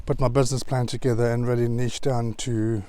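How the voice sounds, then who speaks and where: A man with a South African accent talking casually in a vlog, working through points in a measured, conversational way. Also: medium pitch, little pitch movement, warm tone, no echo. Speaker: vlogger. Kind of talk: casual vlog talk to camera.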